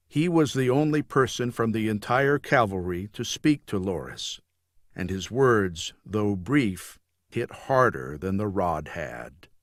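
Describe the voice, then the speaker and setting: A man reads a novel aloud in a fairly low voice. His delivery is slow, grave and measured, like formal storytelling. The recording is dry and free of room sound. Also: dry recording. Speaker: audiobook narrator. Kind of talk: scripted fiction narration.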